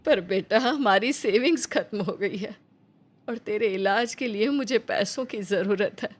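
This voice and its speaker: A woman's voice: medium pitch, unhurried, a little hiss or hum, lightly acted. A woman voicing a caring, worried mother, tender and insistent, in a dramatized cartoon story. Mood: pleading. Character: caring, worried poor widowed mother to grown son.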